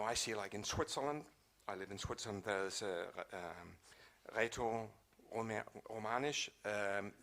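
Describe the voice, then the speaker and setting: A man's voice: lowish voice, sharp tone. A man asking a question in a panel discussion, speaking spontaneously and often repeating and rephrasing his words.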